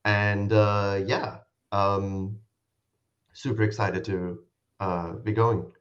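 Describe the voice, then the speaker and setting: A man from Germany, a native German speaker, chatting casually in a hesitant, halting way on slightly dull audio. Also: fairly low pitch, little pitch movement, a bit bright. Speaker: podcast guest, tournament player. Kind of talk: casual chat.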